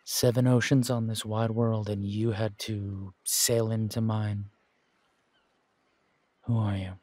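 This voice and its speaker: A man playing a menacing, wry pirate captain in a roleplay audio, speaking in a taunting, deliberate drawl with dramatic pauses. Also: on the low side, little pitch movement, a little mellow, no room sound. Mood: stern, probing. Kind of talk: scripted roleplay audio acting.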